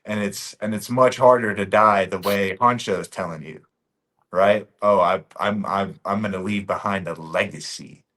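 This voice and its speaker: A man's voice: low voice, moderate pace, a bit bright. A man from Bosnia, a podcast guest talking casually and earnestly, often repeating his key phrases for emphasis.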